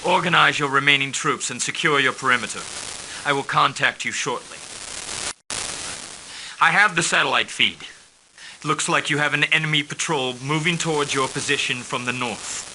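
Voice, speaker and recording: An actor playing a calm, formal male mercenary liaison who briefs a commander on missions in a video game. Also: fairly high pitch, moderate pace, background noise, close to an everyday voice. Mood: composed. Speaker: mission handler.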